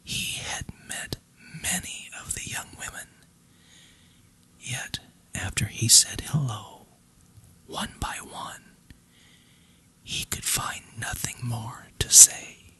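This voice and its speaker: A storyteller narrating a bedtime fairy tale in a breathy, voiceless whisper, slowly and deliberately with pauses. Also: medium pitch, sharp tone. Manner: slow, deliberate, with long pauses.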